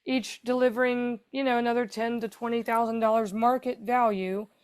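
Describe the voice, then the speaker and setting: A woman's voice: fairly high pitch, steady tone, moderate pace, clear, resonant tone. A woman guest on a podcast interview, telling her story in a chatty, rambling way with lots of fillers. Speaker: guest, foundation founder.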